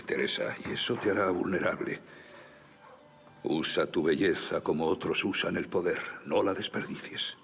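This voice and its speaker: An apprehensive old gentleman of a period audio drama. His voice is very deep and full of gravel. He speaks bluntly and gravely, protective beneath the grumbling. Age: older. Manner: stern, grave, solemn warnings.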